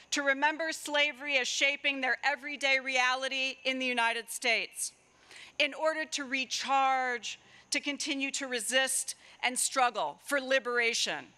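A middle-aged woman, a political scientist, giving a formal prepared keynote speech at an outdoor lectern with measured, deliberate pauses. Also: high voice, pushed and bright. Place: outdoors at a stone university building, ceremony. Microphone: lectern microphones.

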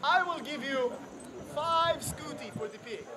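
Woman doing a pompous show-off captain, bragging loud, crowd chatter outside. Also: sits high, unhurried. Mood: boastful. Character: boastful vain male Spanish army captain. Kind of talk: outdoor commedia dell'arte street show. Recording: crowd noise outdoors.

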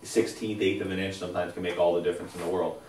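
A man with a very low voice gives relaxed, rambling advice as a show co-host. He is recorded on a lapel mic in a small studio, and he sounds a little distant in a roomy, echoing space. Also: fast talker. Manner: relaxed, explanatory, stacks up phrases with fillers. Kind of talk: casual expert advice chat. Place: indoors, small studio against a plain backdrop. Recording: roomy echo, voice a bit far from mic.

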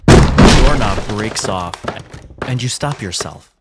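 A man narrating a tabletop roleplaying game as game master, in a measured, descriptive and dramatic storytelling manner. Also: lowish voice, animated, very noisy. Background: music.

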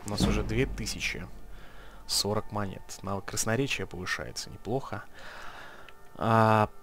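Let's-play host: lowish, gravelly man, casual muttered commentary, game music behind. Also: a bit bright. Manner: mutters item names, dry sarcastic asides.

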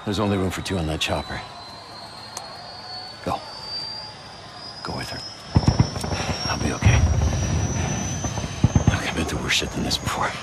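Under very loud helicopter noise, a hardened male biker with an extremely low voice. He is blunt, stoic and gravelly, clipped like a man in a dramatic game scene. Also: a little slow, long pauses, full character voice. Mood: urgent, stoic. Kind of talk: video game cutscene acting.

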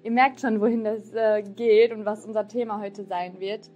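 A woman's voice: mid-range voice, fairly even intonation, normal speed, darkish tone, muffled recording, soft background music. A woman hosting a podcast interview, upbeat and laughing often, in a casual chat. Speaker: podcast host. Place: adult education center.

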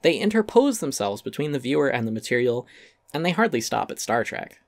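A man's voice: medium pitch, big pitch swings, a little fast, creaky, no room sound. Man reading an essay, dry close sound. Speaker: essay narrator. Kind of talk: dramatic reading of an essay, scripted narration.

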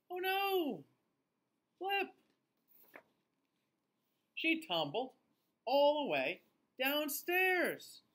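Male, thirties, children's read-aloud teacher; swooping intonation, very unhurried, exaggerated delivery. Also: very high-pitched. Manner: animated, exaggerated, drawn-out delivery with gasps. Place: small indoor room, seated facing camera.

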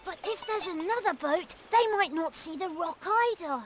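A young cartoon rabbit boy, speaks in a very high, lively voice. He explains things patiently, step by step, like a gentle teacher. Cheerful music plays underneath him. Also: moderate pace. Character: young male rabbit, calm and helpful teacher. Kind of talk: children's cartoon voice acting.

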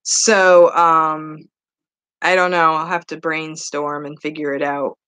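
A woman's voice: sits low, takes it slowly, smooth. Chatty woman in her fifties, rambling webcam vlog. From New Hampshire. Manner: rambling and animated, laughs often, lots of fillers. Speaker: online English teacher and vlogger. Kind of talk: casual chatty vlog, talking to viewers. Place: small home room with wood-paneled walls. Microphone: webcam at desk.

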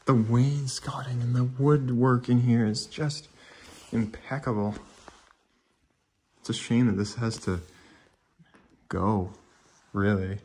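A man's voice: mid-range voice, fairly even intonation, slow pace, long pauses, dark tone. A man getting over a cold gives informal, awed walkthrough commentary as he explores empty rooms with a handheld camera. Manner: informal, awed, mildly sweary. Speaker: urban exploration vlogger. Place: inside empty rooms of a large abandoned house.

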